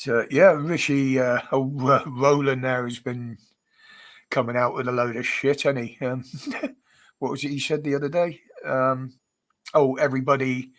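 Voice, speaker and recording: British male streamer, congested nose, unhurried chatty delivery. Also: medium pitch, a bit bright. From United Kingdom. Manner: chatty, greets viewers by name, chuckles often. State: stuffy, blocked nose. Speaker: livestream host. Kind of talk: casual livestream chat.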